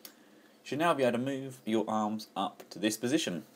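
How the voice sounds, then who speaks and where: A man's voice: medium pitch, animated, fairly quick. An enthusiastic man chatting casually through a toy review, full of fillers and restarts. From England.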